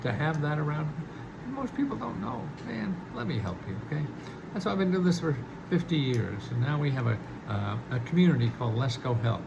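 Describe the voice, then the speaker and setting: A man's voice: fairly high pitch, very animated, a little fast, vocal fry, noisy background. An older man hosting a casual money-advice talk, chatty and amused, chuckling between points. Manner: chatty, chuckles at own jokes, many restarts. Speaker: host giving money advice. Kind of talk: casual direct-to-camera talk.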